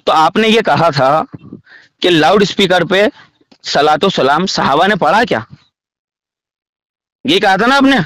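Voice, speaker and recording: A man with an extremely high-pitched voice presses his point in a heated religious debate. He interrupts often and repeats short demands. The recorded phone conversation sounds dry, without room echo. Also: animated, measured pace, long pauses, fairly bright tone. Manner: badgering, interrupting, repetitive.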